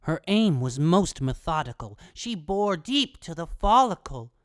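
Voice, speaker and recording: A woman's voice: lowish voice, big pitch swings, unhurried, dry recording, clean studio sound. A woman narrating a rhyming storybook tale in a theatrical manner.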